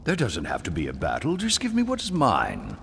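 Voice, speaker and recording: A man playing a resentful, proud snow leopard villain in an animated action game, taunting and commanding. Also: a little fast, vocal fry, full character voice. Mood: demanding, threatening. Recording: loud rumbling background noise.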